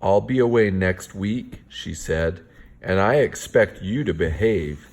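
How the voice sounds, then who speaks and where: A man's voice: sits low, lively intonation, takes it slowly. A man reading a picture book aloud as a father to kids, with playful, theatrical delivery and casual asides.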